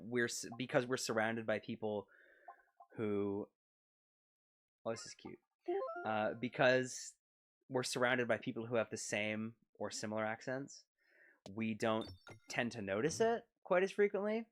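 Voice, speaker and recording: A man with a Canadian accent chatting casually on a game stream, rambling and talking quickly, seated at his desk close to a mic. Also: lowish voice, a little hiss or hum. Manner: rambling, quick-talking, self-conscious about his pronunciation. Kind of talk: casual live-stream chat over gameplay. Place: seated at his desk, leaning back in a chair. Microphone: desk mic set close to his mouth.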